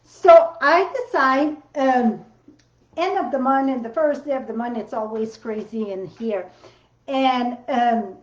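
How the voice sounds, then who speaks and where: Brief: woman host with a French accent, high and unhurried, chatty livestream demo, roomy home craft-room sound. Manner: chatty, rambling, loose non-native grammar. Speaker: card-making livestream host. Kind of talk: casual live demo chat. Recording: roomy, slightly dull sound.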